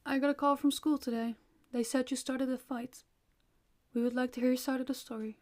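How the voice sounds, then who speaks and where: A nurturing vampire mother, acted by a woman, speaks to her child in a slightly high voice. She is gentle, coaxing and concerned, yet firm, in the style of an audio roleplay. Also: steady tone, brisk, close to an everyday voice. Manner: soft-hearted, coaxing, parental.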